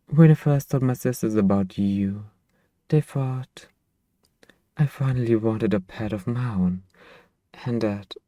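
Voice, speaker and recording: A man playing a centuries-old vampire master and possessive, tender boyfriend in an intimate ASMR roleplay, speaking softly and wistfully with lingering phrasing. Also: on the low side, no echo. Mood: confiding, tender. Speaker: ASMR voice actor.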